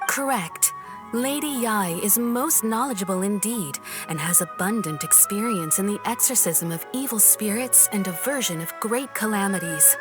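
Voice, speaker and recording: A woman playing a composed, courteous shrine maiden in a video game, speaking formally and deliberately. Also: medium pitch, expressive, music in the background, lightly acted.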